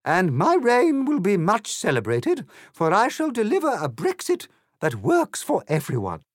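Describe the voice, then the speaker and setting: A man's voice: high-pitched, wildly swooping pitch, a little slow, no room sound, clean studio sound, pitched above the performer's own voice, exaggerated voice. A man voicing an imperious, cruel queen of a card kingdom who speaks grandly and screams in bursts.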